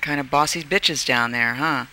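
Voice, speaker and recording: A man's voice: medium pitch, normal speed, bright, forceful tone, slight background noise. A man hosting a radio talk show who keeps pressing a guest with personal questions. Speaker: radio host. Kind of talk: casual radio interview.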